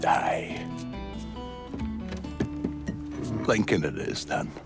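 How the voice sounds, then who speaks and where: A man voicing a hard-bitten older gang boss in a video game cutscene, with a slow, bitter drawl. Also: over loud music, close to an everyday voice. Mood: reflective.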